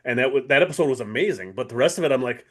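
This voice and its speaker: A man with a medium-pitched voice talks casually as a podcast co-host reviewing TV. He thinks out loud in a halting way, doubling back to correct himself. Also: expressive, a crowd in the background.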